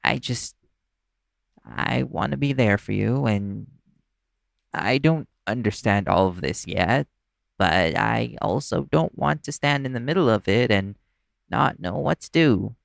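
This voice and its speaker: A man acting in an audio roleplay as a nervous, caring young vampire's human partner, speaking in a halting, stammering way. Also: mid-range voice, vocal fry, no echo, clean studio sound. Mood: earnest, unsure.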